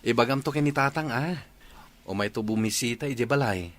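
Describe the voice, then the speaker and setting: An actor playing a gentle, worried young husband and expectant father, with an Ilocano Filipino accent in radio drama style. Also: mid-range voice, fairly quick, close to an everyday voice. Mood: casual. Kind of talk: radio comedy-drama acting.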